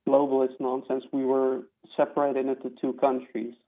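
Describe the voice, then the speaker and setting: Halting young man on a tinny phone line. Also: mid-range voice, completely flat delivery. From Belgium, Flanders. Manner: halting, many restarts and fillers. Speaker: caller. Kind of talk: phone-in call.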